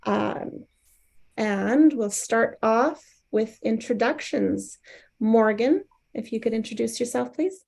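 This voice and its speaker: Woman, high-pitched voice, casual host, informal introductions. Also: slow, vocal fry, a little mellow. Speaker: host of a live table read.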